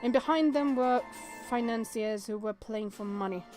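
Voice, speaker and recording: Higher-voiced woman reading game text aloud. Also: normal speed, faint music behind. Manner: expressive, exclamatory, stumbles over words when reading. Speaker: host playing and reacting to a visual novel. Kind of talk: let's-play, reading game text aloud with spontaneous reactions.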